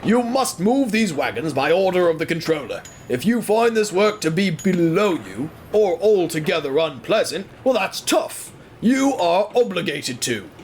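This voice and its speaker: A man acting a stern quarry foreman, lively pitched. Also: measured pace, few pauses, slight background noise. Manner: curt, overbearing, commanding.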